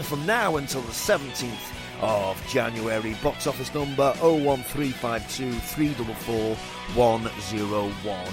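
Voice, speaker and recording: A man presenting and interviewing on local radio in a chatty, enthusiastic, effusive way. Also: on the high side. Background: music.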